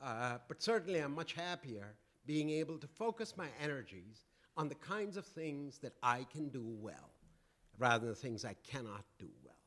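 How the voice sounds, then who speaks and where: A man lecturing on economics to an audience, with a loud voice and frequent fillers and restarts. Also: medium pitch, sing-song. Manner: loud, halting with many fillers. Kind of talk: public lecture.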